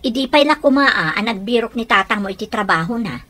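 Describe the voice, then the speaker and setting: A woman's voice: a little high, expressive, brisk, bright, forceful tone, no echo. A woman playing a nosy shopkeeper auntie in a radio comedy drama. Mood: prying.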